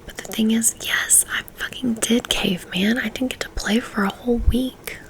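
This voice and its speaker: A woman reading a first-person novel aloud in a soft, intimate whisper, in a steady ASMR style. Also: on the high side, normal speed. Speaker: audiobook reader.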